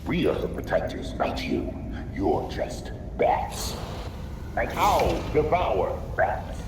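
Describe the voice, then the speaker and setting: An actor playing an arrogant, menacing villain of a secret elite, haughty and theatrical, in a video game scene. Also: male voice, fairly low pitch, big pitch swings, slow pace. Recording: echoey room, clear background noise.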